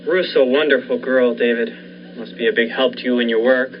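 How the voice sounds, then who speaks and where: A young man playing a cautious, morally troubled surgeon in a 1940s-style radio thriller, hesitant and measured. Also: mid-range voice, steady tone, close to an everyday voice. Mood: friendly. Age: young adult. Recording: dull lo-fi recording with faint noise.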